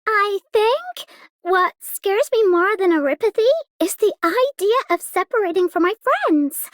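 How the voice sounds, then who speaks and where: A sweet earnest young girl for a game, really high little voice, super dry recording. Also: expressive, measured pace, dry recording. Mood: earnest, hopeful. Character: earnest, sweet young girl operator, eager to protect friends. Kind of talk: scripted game voice lines.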